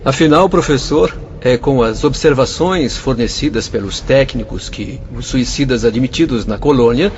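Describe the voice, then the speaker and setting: A man playing a calm spirit doctor. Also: medium pitch, highs cut off, slight background noise, lightly acted. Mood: explaining. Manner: measured, explanatory. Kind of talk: radio drama acting.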